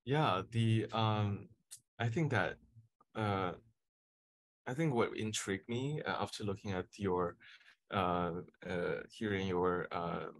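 A man in his thirties moderates a panel discussion over a video call, his voice very low and his delivery slow and hesitant, full of fillers. The sound is dry and close, picked up at his desk. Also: dry recording. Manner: hesitant, many fillers and restarts. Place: home office.

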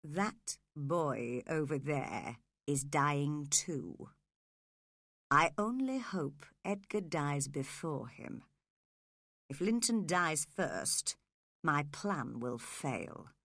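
Female reader as scheming cruel father, low pitch, slow, cold menace, storybook villain. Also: lively intonation, pushed and bright, dry recording, studio quality, heavily acted. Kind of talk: dramatised audiobook reading.

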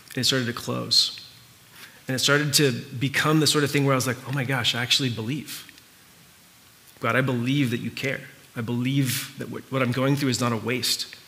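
Bright-voiced man preaching, native Spanish speaker. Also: medium pitch, vocal fry. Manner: earnest, informal, halting with pauses and repeats. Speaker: pastor preaching. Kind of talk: conversational sermon. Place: church hall with stage, indoors, before a congregation.

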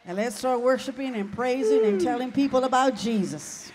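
A woman's voice: on the high side, moderate pace, background noise. Older woman singer, encouraging preachy banter, handheld mic on a church stage. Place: large church sanctuary stage.

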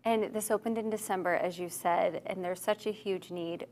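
A woman's voice: fairly low pitch, fairly even intonation, moderate pace, a little mellow, a little hiss or hum. A woman in her thirties with an American accent, presenting and interviewing as host of a lifestyle TV show. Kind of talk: scripted presenting and friendly interviewing.

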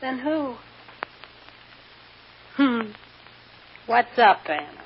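A nervous, evasive young woman in a vintage radio drama. Her voice is high and very animated, with slangy, stammering protests. The old recording is muffled, with clear hiss and clicks behind her. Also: drawn-out words, exaggerated voice. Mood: offhand, guarded. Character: nervous young kept woman, a trial witness, protesting innocence.

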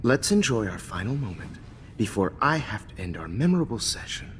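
A smug, middle-aged American villain from a video game. His low, breathy voice swings widely from measured menace to sharp command, ringing in a long reverb. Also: very animated. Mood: calm, sinister. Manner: smug, measured, menacing, prone to sudden outbursts. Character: cold, arrogant male photography teacher turned killer. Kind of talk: dramatic video game acting. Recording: reverberant room with ambient noise.

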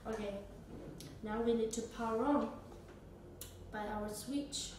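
A young woman demonstrates a product step by step, speaking in halting phrasing. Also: on the high side, steady tone, darkish tone. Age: young adult. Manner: halting non-native English phrasing. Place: small indoor office or meeting room. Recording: echoey room, distant mic, noisy background.

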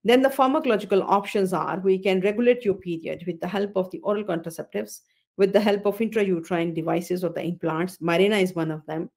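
A woman's voice: medium pitch, fairly even intonation, brisk, vocal fry, a little mellow. A woman in her fifties, an obstetrician hosting a webinar on a video call, explaining slides, often repeating phrases and correcting herself with fillers. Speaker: OB-GYN consultant hosting a webinar. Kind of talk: webinar lecture over slides. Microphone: computer mic on a video call.

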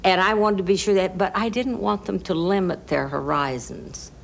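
A woman's voice: medium pitch, normal speed, vocal fry. An older woman from western Kansas speaking reflectively in a documentary interview, in thoughtful phrases. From western Kansas, United States. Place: indoors in a wood-panelled room. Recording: background noise, room ambience.

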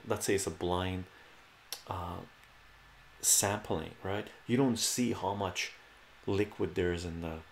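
Middle-aged man with a deep, gravelly voice, talking through a math problem in a relaxed, chatty way and checking in with "right?" after each step. He's recorded on a webcam mic in a small room that rings with echo. A faint hum sits under his voice. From Iran. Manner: chatty, repeats phrases and tags "right?" often. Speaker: math streamer. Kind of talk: casual live math lesson at a whiteboard. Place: small home room with bookshelves.

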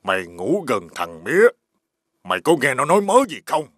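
A man voicing a greedy, bad-tempered older master in an animated folk tale, scolding and bossing in an exaggerated way. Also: mid-range voice, normal speed, dry recording, clean studio sound. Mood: scheming.

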